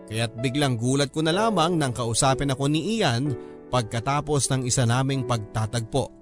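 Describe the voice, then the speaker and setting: A man's voice: medium pitch, moderate pace. A man narrating a scripted first-person story as a radio drama host. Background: prominent background music.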